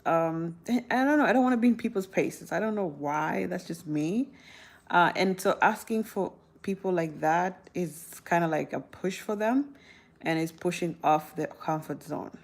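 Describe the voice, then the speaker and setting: A woman's voice: fairly low pitch, gravelly. A woman in her thirties from South Sudan, chatting casually to her viewers with frequent laughs and restarts. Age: 30s. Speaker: vlogger answering viewer questions. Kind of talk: casual talk to camera.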